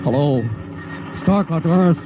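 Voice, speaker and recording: An actor playing a desperate starship captain in a 1950s radio drama. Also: male voice, a little high, a little slow, lightly acted. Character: desperate male starship captain facing mutiny. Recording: muffled, noisy 1950s radio recording.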